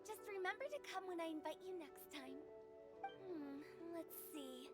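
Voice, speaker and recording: A woman's voice: very high-pitched, lively intonation, measured pace. A woman playing a playful, mischievous young hostess in a game cutscene, with a teasing, theatrical delivery. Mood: easygoing. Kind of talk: animated game cutscene dialogue. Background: background music.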